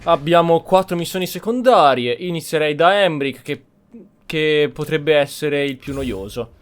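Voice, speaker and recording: A man's voice: fairly high pitch, moderate pace, clear, resonant tone. Casual Italian man commenting on a game. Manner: informal, opinionated. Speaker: gaming streamer. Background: faint game music.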